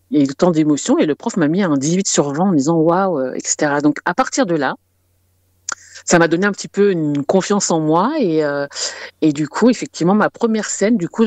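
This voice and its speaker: A woman's voice: deep voice, darkish tone, no echo. A young woman with a French accent, chatting in a storytelling way as she answers interview questions as a guest singer. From France, Paris region.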